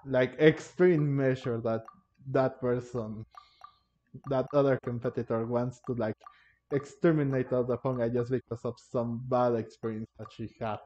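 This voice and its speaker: A man giving casual gameplay commentary as a video host, halting and searching for his words. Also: mid-range voice, steady tone, mellow. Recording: dull lo-fi mic, game music behind. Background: game music.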